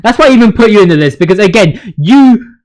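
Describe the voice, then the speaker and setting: A man's voice: high-pitched, expressive, fast, a little mellow. A man giving casual, opinionated gaming commentary as a video host, ranting in slang with emphatic repetition.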